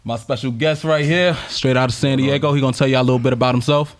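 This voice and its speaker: A man's voice: medium pitch, steady tone, fast talker, no room sound. A man, hosting a casual podcast chat in a loose, slangy, upbeat way. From Brooklyn, New York. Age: 20s. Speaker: podcast host.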